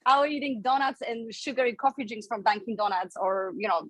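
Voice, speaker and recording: A woman's voice: a little high, fairly quick, a bit bright, no echo. A woman in her thirties from Poland with a Polish accent, a fitness coach telling her story as a podcast guest in a chatty, candid way. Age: 30s. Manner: chatty, candid, laughs between phrases.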